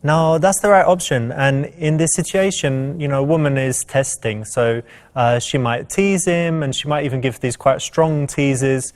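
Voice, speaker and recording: A man's voice: on the high side, normal speed. A man speaking as a dating coach, giving informal commentary and advice.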